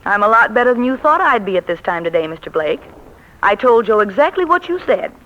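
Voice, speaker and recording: Coy romantic heroine, muffled old radio. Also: female voice, mid-range voice, very animated, a little fast, a bit bright. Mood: cool, composed. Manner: coy, playful. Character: teasing, self-possessed lady novelist in love. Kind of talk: 1940s radio acting. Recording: dull, noisy vintage radio recording.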